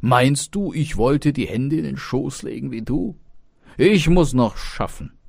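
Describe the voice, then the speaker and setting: An irascible old peasant father from a fairy tale, as a male narrator plays him. He scolds loudly and scornfully, with indignant emphasis, his pitch raised and swinging widely. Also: animated, extremely slow, creaky, slightly rough, dry recording, clean studio sound, exaggerated voice. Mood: angry, scolding.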